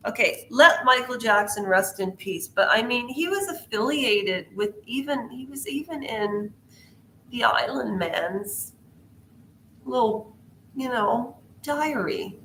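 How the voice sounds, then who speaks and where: A woman rambles through pop culture commentary, hopping from one tangent to the next and throwing questions at her audience. Her voice is bright and pushed forward. The sound bounces around a roomy space, with a faint hiss underneath. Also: a little high. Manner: chatty, jumps between tangents, quizzes the viewer. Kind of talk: rambling casual commentary to viewers. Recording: echoey room.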